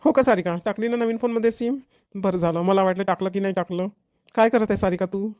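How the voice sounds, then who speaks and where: A woman's voice: mid-range voice, fast, darkish tone, no echo, studio quality, natural voice. A woman voicing an eager, smitten young fiancé in a cartoon comedy. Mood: eager, inquisitive. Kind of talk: Marathi cartoon comedy dialogue.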